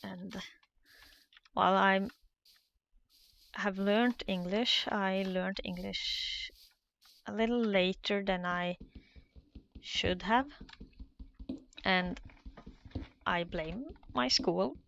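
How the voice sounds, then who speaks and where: Slow, gravelly Norwegian-accented woman gamer. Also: mid-range voice, a bit bright, slight background noise. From Norway. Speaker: Minecraft let's player. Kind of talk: nervous casual gameplay commentary.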